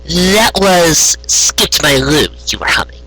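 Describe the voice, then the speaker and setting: Man acting grumpy female unicorn, bright forceful tone, haughty, insistent, husky edge, storybook character. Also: fairly high pitch, animated, takes it slowly, no echo, voice pushed up, exaggerated voice, low-quality recording. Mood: demanding. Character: imperious, grumpy young female unicorn magician.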